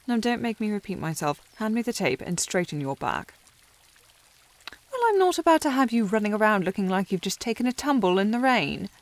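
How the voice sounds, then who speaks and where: A woman's voice: mid-range voice, very animated, fairly quick. A woman playing a snobbish, teasing young lady with haughty, formal phrasing in a historical romance ASMR roleplay. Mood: bossy, fussing. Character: snobbish, teasing young upper-class lady, secretly fond.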